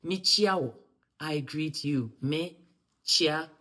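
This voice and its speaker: A woman teaching greetings in a friendly lesson to camera, repeating phrases slowly for learners to echo and breaking into loud, emphatic bursts. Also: low-pitched. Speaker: language and culture teacher.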